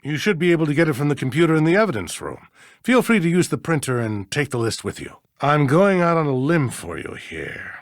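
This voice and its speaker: A man's voice: fairly high pitch, sing-song, a little fast, dry recording, studio quality. An actor playing a flustered, courteous older judge in a mystery game, fussy and hesitant in his speech. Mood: courteous, guarded. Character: flustered older male small-town judge, evasive, courteous. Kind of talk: scripted mystery game dialogue.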